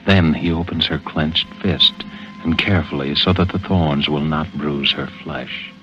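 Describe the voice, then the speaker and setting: An ominous radio storyteller with an extremely deep voice. He narrates a vintage thriller gravely and deliberately, over prominent music on a dull old recording. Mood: hushed, ominous. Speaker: announcer and narrator. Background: dramatic music.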